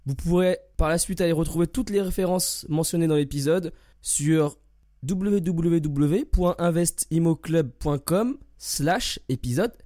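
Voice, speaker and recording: A hoarse man hosting a podcast, who reads a welcoming scripted intro and then interviews a guest. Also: fairly high pitch, no echo, studio quality. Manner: welcoming, steady scripted delivery. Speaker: podcast host.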